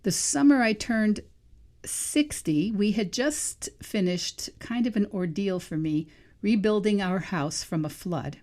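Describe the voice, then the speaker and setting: A woman's voice: mid-range voice, takes it slowly, dark tone. A woman in her sixties hosting a solo podcast as a vegan life coach, talking in a thoughtful, musing way. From New England. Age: 60s. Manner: thoughtful, musing, self-questioning. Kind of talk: solo reflective podcast monologue.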